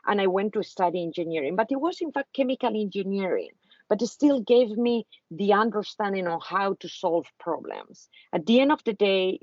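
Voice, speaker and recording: Woman, Spanish accent, lowish voice, hesitant with fillers, muffled video call. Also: dry recording. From Spain. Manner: hesitant, many fillers and restarts. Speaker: venture capitalist guest speaker. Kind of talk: online talk to students.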